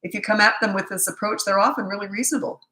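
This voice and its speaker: A woman's voice: medium pitch, steady tone, very fast, sharp tone, reverberant. A woman in her sixties with a cold, her voice giving out, giving blunt, matter-of-fact parenting advice on a live stream. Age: 60s. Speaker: parenting coach.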